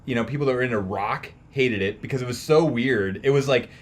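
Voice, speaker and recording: A man's voice: medium pitch, fairly quick. A man chatting casually about music as a podcast host, in an informal, slangy, mildly profane way.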